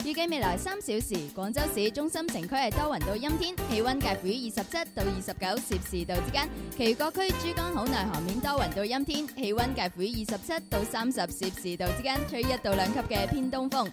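A female radio co-host with a high voice and a brisk pace. She presents in a light broadcast style, with music playing loudly behind her. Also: animated.

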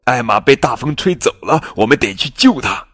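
A man's voice: medium pitch, expressive, normal speed, a bit bright, soft background music, pitched above the performer's own voice. A man voicing anxious elephants from the herd in a children's storybook. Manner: calling out anxiously.

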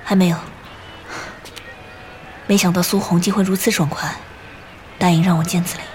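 A woman's voice: on the low side, fairly even intonation, normal speed, darkish tone, a little hiss or hum, natural voice. An actress playing a guarded, devoted woman in a modern audio drama, with a restrained and tender delivery. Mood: calm, gentle. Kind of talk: modern Chinese radio drama acting. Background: music.

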